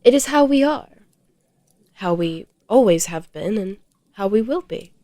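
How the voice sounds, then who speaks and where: A woman playing a serene, literal-minded clockwork being in a fantasy audio drama, speaking in a measured, formal, matter-of-fact way. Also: mid-range voice, expressive, mellow, no room sound, lightly acted.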